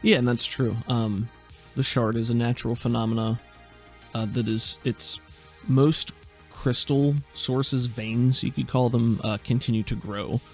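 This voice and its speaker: Man game master with slightly creaky voice. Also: mid-range voice, fairly even intonation, a little slow, a little mellow, faint music behind. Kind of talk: improvised tabletop game narration, conversational.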